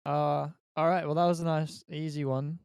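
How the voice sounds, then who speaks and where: Male podcast host, slightly high voice, dry close sound, informal chat. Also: level tone, normal speed, darkish tone, smooth, no room sound. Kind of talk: casual chat.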